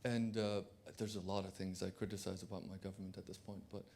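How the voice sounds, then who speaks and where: Conference audience member from Turkey, man, low and mellow, halting delivery. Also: flat delivery. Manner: hesitant, many fillers and restarts. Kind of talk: conference floor remarks.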